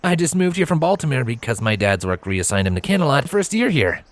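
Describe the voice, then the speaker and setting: A man voicing a brash, chummy, lanky young male pony full of excitement, in a cartoon-style story reading. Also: medium pitch, fairly quick, no room sound, voice pushed up, lightly acted. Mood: cocky.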